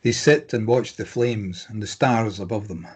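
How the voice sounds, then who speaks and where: A man's voice: lowish voice, moderate pace, a little mellow, breathy edge. A man giving scripted narration, reading out scene headings and stage directions for a drama.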